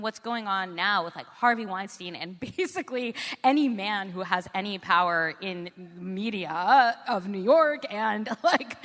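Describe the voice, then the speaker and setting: An American woman speaks as an interview guest in a large reverberant hall. Her pitch swings widely as she makes her points informally and emphatically, often putting them as rhetorical questions. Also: fairly bright tone. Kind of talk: conversational interview. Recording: echoey hall with long reverb.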